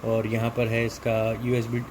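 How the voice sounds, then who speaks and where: A man's voice: medium pitch, monotone, moderate pace, background noise. A man with an Indian accent giving a casual, explanatory product walkthrough, mixing in English technical terms. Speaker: product reviewer.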